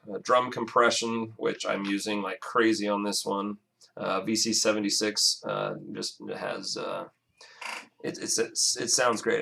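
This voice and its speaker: A raspy-voiced man talks through his mixing session in a loose, casual way, often stopping and restarting with fillers. His voice sits on the low side and carries a gravelly, fry-heavy edge. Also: fairly bright tone. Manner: halting, many fillers and restarts. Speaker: music producer. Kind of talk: casual screen-recorded mixing walkthrough.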